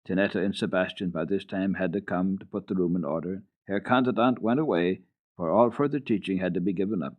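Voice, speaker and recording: A man narrating children's literature as a radio storyteller, reading dramatically in an expressive, old-fashioned way. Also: low voice, a little fast, narrow, tinny audio. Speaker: storyteller, radio program host.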